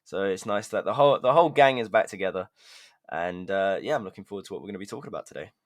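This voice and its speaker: Low-voiced man in casual podcast chat. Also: expressive, racing. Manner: chatty, hedging with "sort of". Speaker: podcast co-host.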